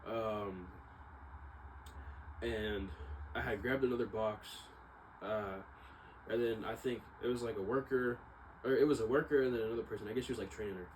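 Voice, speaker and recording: A man's voice: lowish voice, fairly even intonation, normal speed, pauses often, a little mellow. A man talking casually and unscripted, in a rambling, self-doubting way that circles back and repeats. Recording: clearly noisy ambient background.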